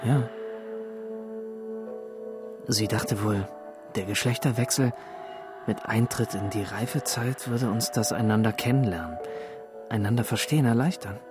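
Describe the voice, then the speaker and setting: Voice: mid-range voice, measured pace, pauses often, music in the background, close to an everyday voice. An actor as a brooding, philosophical wanderer. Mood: pensive. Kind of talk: radio drama acting.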